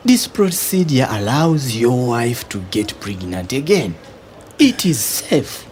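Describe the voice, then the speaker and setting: A man with a Ugandan accent playing a patient, firm doctor in a radio drama, speaking in a measured, insistent, earnest way. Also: medium pitch, very animated, darkish tone, slight background noise. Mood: earnest, persuading. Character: patient, firm male doctor counselling a husband.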